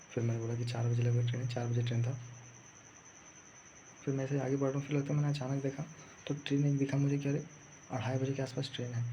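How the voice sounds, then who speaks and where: Flat-toned young man, casual rambling. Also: mid-range voice. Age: young adult. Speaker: vlogger recounting own exam day. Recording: muffled lo-fi audio, faint background noise.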